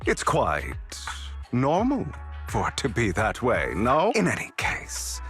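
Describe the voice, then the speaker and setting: An actor voicing a suave, sly gentleman rogue in a video game, speaking with polished, teasing courtesy and coy pauses. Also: mid-range voice, huge pitch swings, full character voice. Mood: smooth, courteous. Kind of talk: video game character dialogue. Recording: music under the voice.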